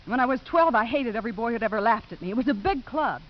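An actress playing a guarded, wounded American probation officer in a 1950s radio drama, earnest and indignant. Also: female voice, on the high side, expressive, fast, natural voice. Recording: dull, hissy old radio recording.

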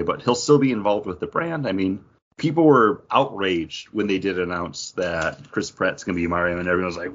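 Low, husky man with animated delivery. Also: muffled recording. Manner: opinionated, conversational. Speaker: podcast host. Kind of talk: casual geek-news discussion.

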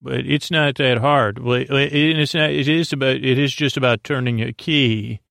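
A man hosting a sleep podcast, talking in a meandering, halting way with many fillers and restarts and a creaky voice. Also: medium pitch, fairly even intonation, a bit bright, dry recording, studio quality. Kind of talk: rambling bedtime podcast intro.

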